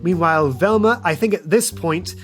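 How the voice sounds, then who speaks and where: A man's voice: a little high, moderate pace, a bit bright. Man game master with faint music behind. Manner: casual, playful. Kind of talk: improvised tabletop game narration.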